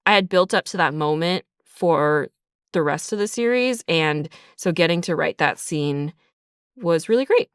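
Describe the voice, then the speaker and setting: A woman's voice: deep voice, animated, a little slow, smooth, no room sound, studio quality. A woman guest speaking casually and reflectively as a writer in an interview, thinking aloud as she goes. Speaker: writer and creator of an audio drama, guest. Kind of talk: casual behind-the-scenes interview.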